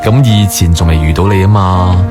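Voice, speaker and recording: Deep-voiced playful boyfriend, acted by a man. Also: moderate pace, loud music behind the voice, lightly acted. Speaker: radio drama actor. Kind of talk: naturalistic radio drama dialogue.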